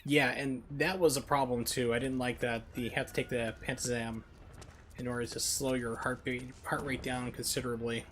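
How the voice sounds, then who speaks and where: Man, mid-pitched voice, casual game streamer, chatty explanations, noticeable background noise. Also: level tone, moderate pace, fairly bright tone. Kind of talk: casual live gameplay commentary.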